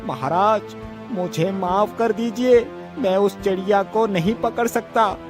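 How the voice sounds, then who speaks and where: A man's voice: high-pitched, measured pace, music in the background. A man voicing a humble, superstitious bird-catcher in a cartoon story. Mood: apologetic. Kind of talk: children's cartoon story voice acting.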